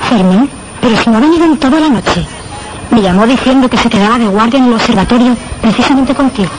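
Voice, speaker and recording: An actress with a Castilian Spanish accent playing a puzzled, worried wife in questioning radio drama dialogue. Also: female voice, a little high, close to an everyday voice. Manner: quick, questioning. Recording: noisy radio drama recording.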